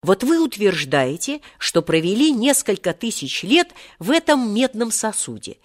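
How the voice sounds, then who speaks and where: A pert young schoolboy from a children's storybook, as a narrator acts him out, pitched up high. He argues with earnest, bookish politeness and quick indignation. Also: very animated, fairly bright tone. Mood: pleading, polite. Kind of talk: acted children's storybook reading.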